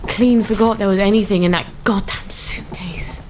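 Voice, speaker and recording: A woman's voice: mid-range voice, moderate pace, a little mellow, slight background noise, close to an everyday voice. Woman voicing a wry young Englishwoman. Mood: wistful. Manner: dry, wry asides. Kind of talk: video game dialogue. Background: game music.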